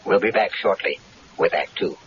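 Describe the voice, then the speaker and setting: A man hosting and narrating a radio mystery show in measured, ominous tones. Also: deep voice. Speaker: radio drama host. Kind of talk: scripted narration. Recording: dull 1970s radio recording with music behind.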